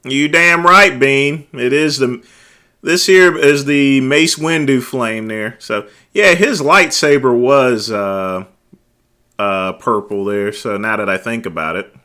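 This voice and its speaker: A middle-aged man hosting a casual late-night livestream, talking loudly and easygoing, chatting with his viewers. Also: medium pitch, takes it slowly. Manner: loud, laid-back, drawls filler words like "there". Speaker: livestream host.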